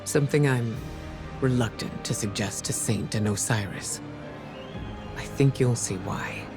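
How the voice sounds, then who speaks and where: An actor playing a serious, measured adviser in a sci-fi video game, speaking deliberately and with some reluctance. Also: male voice, mid-range voice, music in the background, natural voice. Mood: grave, reluctant. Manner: serious, deliberate, hesitant to burden others. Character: grave, measured male adviser in a sci-fi saga. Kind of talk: video game character dialogue.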